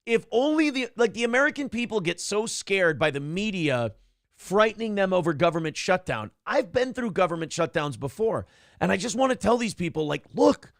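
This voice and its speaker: Gravelly brash male talk show host. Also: high voice, fairly bright tone, dry recording, studio quality. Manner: brash, profane, reads viewer donations aloud. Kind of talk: casual political talk show banter.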